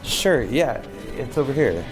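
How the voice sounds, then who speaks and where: An actor playing an uneasy, compliant new homeowner in a fiction podcast, a man talking casually in a halting, hedging way. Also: mid-range voice, very animated, over music. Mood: awkwardly compliant. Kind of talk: naturalistic fiction podcast dialogue.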